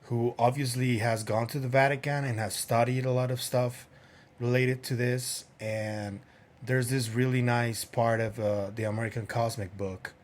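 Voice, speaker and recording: Unhurried male podcast host. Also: mid-range voice, little pitch movement. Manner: unhurried, many fillers. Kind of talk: casual solo commentary.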